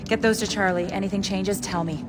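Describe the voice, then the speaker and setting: A woman playing a firm intelligence operations officer in a video game, brisk, assertive and matter-of-fact. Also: mid-range voice, steady tone, lightly acted. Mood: businesslike. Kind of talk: cinematic video game acting. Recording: music behind the voice.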